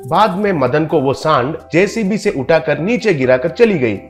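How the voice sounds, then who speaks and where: A man's voice: on the high side, lively intonation, normal speed, soft background music. A man narrating a scripted comic moral story.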